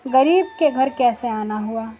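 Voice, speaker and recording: A woman's voice: fairly high pitch, unhurried, dark tone, slight background noise, natural voice. A woman voicing a humble, trusting widowed male potter, deferential and earnest, in a moral story.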